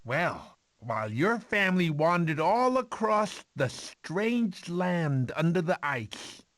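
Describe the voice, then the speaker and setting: A goofy cartoon sloth uncle tells a bedtime story in a highish, swooping voice. He is fussy, sentimental and rambling, doting on every phrase at an unhurried pace, with some noise behind him. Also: very animated, a bit bright, heavily acted. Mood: doting storytelling. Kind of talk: animated film character narration.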